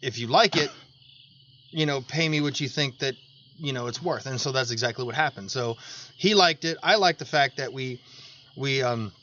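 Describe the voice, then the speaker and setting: A man's voice: medium pitch, normal speed, pushed and bright. An American man co-hosting a podcast, telling personal stories in an earnest, rambling way and repeating phrases for emphasis. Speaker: podcast co-host, tradesman. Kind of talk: casual chat and personal anecdotes. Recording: dull lo-fi audio with noticeable background noise.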